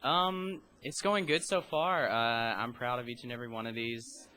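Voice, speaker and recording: A man's voice: medium pitch, fairly even intonation, moderate pace, pushed and bright. A young man, a student director, speaking earnestly and proudly about his cast. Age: young adult. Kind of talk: casual interview.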